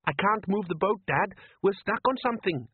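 A man plays a jittery young lad in an audiobook, his voice pitched high and wavering with worry. Every line is an anxious question to his father. The sound is thin and boxy, like a phone line. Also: expressive, moderate pace, breathy edge, no room sound.

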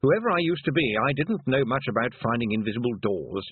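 A man's voice: medium pitch, big pitch swings, brisk, slight background noise, natural voice. A man voicing a grumpy, unhelpful talking toad with a dry, resentful manner in a storybook. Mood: dryly grumpy. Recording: narrow phone-like lo-fi recording.

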